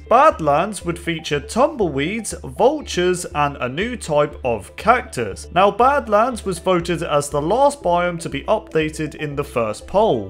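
A young man with a slightly hoarse voice, narrating video game news over gameplay in an upbeat way. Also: sits high. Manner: upbeat, lively, repeats "as you can see". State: hoarse, getting sick. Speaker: gaming channel host.

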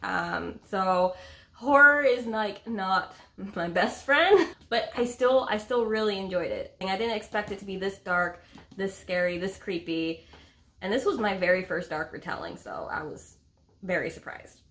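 A woman in her thirties talking casually as a book vlogger, expressive and emphatic, often repeating words for effect, recorded at home on a camera mic. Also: mid-range voice, measured pace. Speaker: book reviewer and co-host of a book vlog. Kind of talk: casual chat about books. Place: small indoor room at home with a bookcase.

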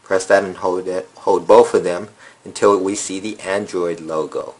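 Low-voiced man talking through a phone reset. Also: moderate pace, husky, poor audio. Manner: relaxed, lots of fillers. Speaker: phone repair tutorial host. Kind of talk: casual step-by-step tutorial. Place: indoor room at a table.

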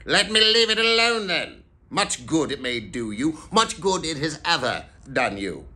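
Storybook miser, played by a male narrator: old man, bitter and stern, growling, forceful delivery with big swings in pitch. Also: sing-song, a little slow. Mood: stern, dismissive.

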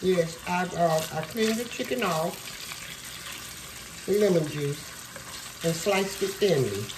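Woman, slow and unhurried, lowish voice, worn from a cold, folksy kitchen chat, faint background noise. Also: expressive. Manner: chatty, folksy, with many fillers. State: losing her voice from a cold. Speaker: cooking show host. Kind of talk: casual cooking demonstration. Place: home kitchen.

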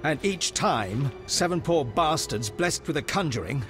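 An actor playing a wry, weathered British army major of the Second World War in a video game cutscene, talking colloquially. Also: male voice, a little high, animated, a little slow, a bit bright, faint music behind. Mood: grave, storytelling.

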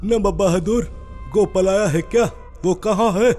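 An actor playing an excitable, fussy court man in a folk-tale cartoon. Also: high voice, measured pace. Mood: eager. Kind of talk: Hindi cartoon voice acting. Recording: long reverb, music behind.

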